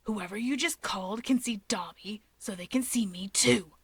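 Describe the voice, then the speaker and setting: A woman voicing a defensive, awkward young male villain leader in an audiobook, speaking in a terse, breathy, insistent hiss. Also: medium pitch, moderate pace. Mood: defiant, sulky.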